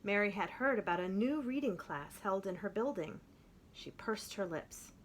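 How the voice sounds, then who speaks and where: Expressive woman reading a storybook aloud. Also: mid-range voice, slight background noise. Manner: expressive, with slowed emphatic phrases. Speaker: children's book reader.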